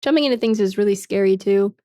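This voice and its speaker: A woman's voice: medium pitch, quick pace, warm tone, no room sound. Laid-back woman streamer with a dry sound. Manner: frank and chatty, swears often, laughs a lot, fills with "like" and "man". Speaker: livestreamer. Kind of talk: casual chat, reading and answering viewer comments.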